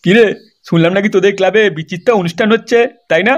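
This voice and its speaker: Man doing a high-voiced pushy old uncle. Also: fast talker, muffled recording, natural voice. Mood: nosy, pushy. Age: older. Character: nosy, grumbling, pushy old neighbourhood uncle. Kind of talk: comedy cartoon dialogue.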